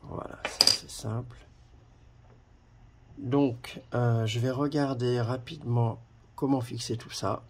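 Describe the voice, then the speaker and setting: A man presenting a product in a casual hands-on demonstration, talking haltingly with pauses as he handles things. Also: mid-range voice, steady tone, a little hiss or hum. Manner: halting, pausing while handling items. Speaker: video presenter reviewing a product.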